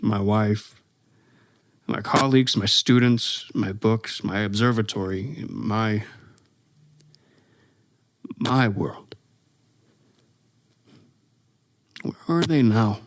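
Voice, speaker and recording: An actor playing an exhausted, haunted professor who survived an invasion, delivering a halting, brooding radio play monologue. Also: male voice, fairly low pitch, dry recording. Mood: bereft, halting. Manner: halting, brooding, stammering over words.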